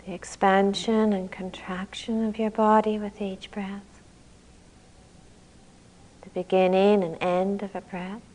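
A woman leading a guided meditation as a teacher, speaking gently and calmly in unhurried phrases with long pauses. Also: medium pitch, low-quality recording.

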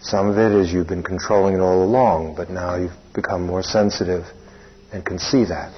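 A man leading a guided meditation as a teacher, speaking plainly in short, unhurried phrases with long pauses. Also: low voice, fairly even intonation, husky. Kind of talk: guided meditation instruction, spoken live. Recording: dull, muffled lo-fi recording.

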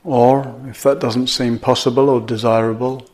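A man teaching meditation, guiding gently and in a measured way, with pauses between short phrases. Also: medium pitch, flat delivery. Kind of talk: guided meditation.

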